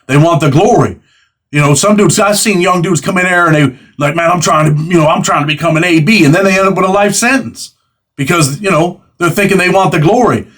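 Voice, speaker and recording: A man's voice: high voice, a bit bright. A man in his forties hosting a casual interview, talking in a conversational, streetwise way. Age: 40s. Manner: fast, conversational, streetwise slang. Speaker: host.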